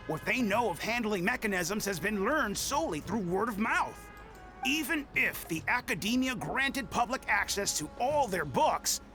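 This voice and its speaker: Lively, bright guild official. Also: female voice, mid-range voice. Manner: businesslike, articulate. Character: brisk, earnest adventurers' guild official.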